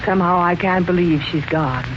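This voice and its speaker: Actress as an insinuating housemaid, muffled vintage radio. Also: female voice, fairly low pitch, normal speed, lightly acted. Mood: suspicious, insinuating. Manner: deferential, pointed, insinuating. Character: insinuating housemaid, deferential on the surface, quietly suspicious. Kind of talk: 1940s radio mystery acting. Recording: muffled, noisy 1940s radio recording.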